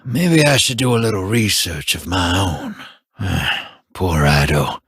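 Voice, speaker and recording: An actor playing a wry, easygoing young human scout who talks in a casual, joking, self-mocking way, with a husky voice. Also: male voice, low voice, takes it slowly, fairly bright tone, no room sound, studio quality, exaggerated voice. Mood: playful.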